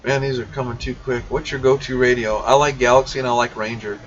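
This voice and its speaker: Male livestream host, blunt and informal shop talk, mid-pitched voice, recorded away from the mic with faint noise. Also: little pitch movement, fast. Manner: blunt, informal, addresses viewers by name, mild swearing. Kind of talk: casual livestream Q&A, reading viewer questions aloud. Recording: dull, distant mic, faint background noise.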